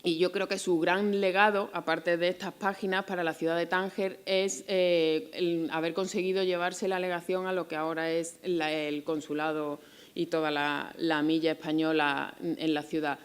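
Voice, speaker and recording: Lower-pitched Spanish woman presenting a book. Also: flat delivery, clean tone. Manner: halting, reflective, with repeated words and searching pauses. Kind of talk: semi-improvised public talk about a book, reading short excerpts.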